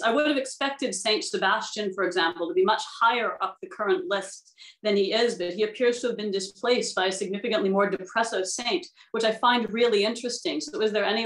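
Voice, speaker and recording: A woman's voice: mid-range voice, a bit bright. A woman speaking as an inquisitive, articulate panelist in casual discussion. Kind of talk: casual, animated panel chat. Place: indoor room, video call. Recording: echoey room on video call.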